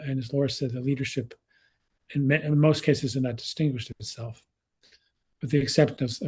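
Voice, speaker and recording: A man's voice: medium pitch, a little mellow, slightly rough. A man in his sixties speaking on a panel in a conversational way, with many restarts and fillers, over a video call. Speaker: researcher and speaker. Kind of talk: panel talk.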